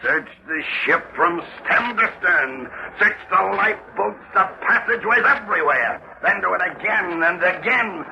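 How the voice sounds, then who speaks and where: An actor playing a haunted, explosive sea captain with a commanding manner in a 1940s radio mystery. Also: male voice, high voice, expressive, moderate pace, vocal fry, hardly any pauses, muffled recording, exaggerated voice. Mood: obsessive, urgent. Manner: commanding, erupting into frantic shouted orders. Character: haunted, explosive ship's captain obsessed with a ghost. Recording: old 1940s radio, music behind.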